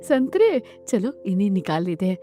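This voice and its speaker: A woman voicing a lively, scheming young wife in a cartoon, animated and conspiratorial. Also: medium pitch, moderate pace, soft background music, close to an everyday voice.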